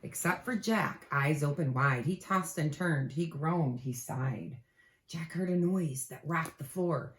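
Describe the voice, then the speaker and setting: A woman reading a children's storybook aloud with expressive delivery, pausing to prompt young listeners. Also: deep voice. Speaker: teacher. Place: room at home. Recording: echoey home room.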